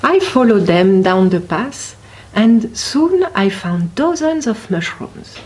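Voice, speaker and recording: Lady reading a kids story out loud, really slow, mid pitched voice, puts drama on the key words. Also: very animated, rough recording. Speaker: picture book reader at a library. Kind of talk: expressive children's story reading.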